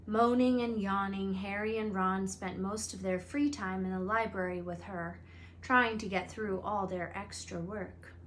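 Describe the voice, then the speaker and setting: A woman reading a children's book aloud as a storyteller, with expressive narration. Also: mid-range voice, a little slow. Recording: faint background noise and ambience.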